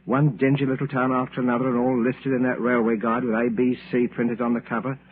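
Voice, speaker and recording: A man's voice: medium pitch, level tone, a little fast, lightly acted. An actor playing a meek, forgetful failed salesman in a 1940s radio drama, hesitant and apologetic. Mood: bitter, agitated. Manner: timid, apologetic, hesitant, deferential. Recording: tinny, hissy old radio broadcast.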